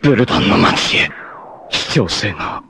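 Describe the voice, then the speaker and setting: A man's voice: fairly low pitch, animated, moderate pace, gravelly, bright, forceful tone, exaggerated voice. A man voicing a proud, doomed young martial-arts warrior bent on revenge in a 1980s anime, with intense, theatrical, solemn delivery. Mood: grave, resolute. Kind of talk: dramatic 1980s anime voice acting. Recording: noisy old TV anime soundtrack clips.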